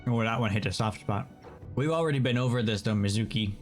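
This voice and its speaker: A man's voice: on the low side, fast talker. A man giving casual commentary as he reacts to a game he is playing. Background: faint game music.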